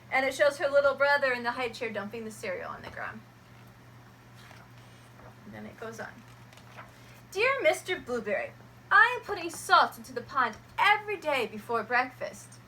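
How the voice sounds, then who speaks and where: A woman teacher reading a picture book aloud to her students, gentle and expressive. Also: high voice, slight background noise, rough recording.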